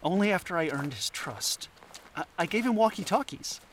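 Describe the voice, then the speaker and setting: A man's voice: high voice, lively intonation, moderate pace, a bit bright, a little hiss or hum. An actor playing a scatterbrained, anxious male anthropologist in an audio drama, stammering and hesitant. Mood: shaken.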